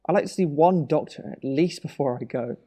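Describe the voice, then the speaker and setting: A man's voice: a little high, fairly quick, mellow, lightly acted. A man playing an anxious, stubborn younger brother and worried husband in a naturalistic audio drama, hesitant and earnest.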